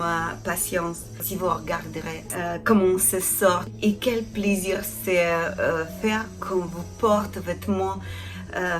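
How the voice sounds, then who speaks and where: Slow-speaking Ukrainian-accented woman. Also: medium pitch. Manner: halting, searching for words in non-native French. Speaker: craft channel host, felting artisan. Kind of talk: casual introduction to camera.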